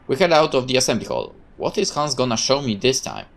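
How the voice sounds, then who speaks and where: Woman narrating a game read-through: very low, expressive, varied pitch. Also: fast, gravelly. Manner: expressive, hesitant reading. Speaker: gameplay narrator. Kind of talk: reading a visual novel aloud.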